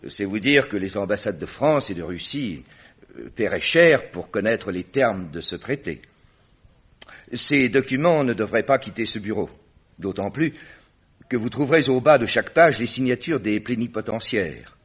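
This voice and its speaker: An actor playing an authoritative government minister who speaks in a commanding, confidential manner in a vintage radio drama. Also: male voice, lowish voice, animated, normal speed, slightly rough. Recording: dull old radio recording.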